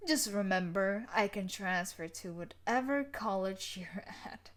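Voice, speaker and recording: A woman's voice: medium pitch, a little slow, natural voice. A woman playing a sadistic, possessive young student bully in an intimate audio roleplay, taunting and menacing, slipping into whispers.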